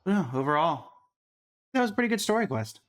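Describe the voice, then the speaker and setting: A man's voice: high voice, sing-song, brisk, a bit bright. A man giving casual game reaction commentary as a host, in an informal, rambling, offhand manner.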